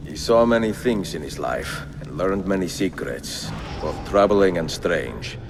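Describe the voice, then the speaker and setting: An actor playing a weary, reflective older Italian assassin in a game cutscene, speaking in a measured, solemn way with an Italian accent. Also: male voice, low voice, animated, noisy background. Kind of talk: dramatic game cutscene dialogue and letter narration.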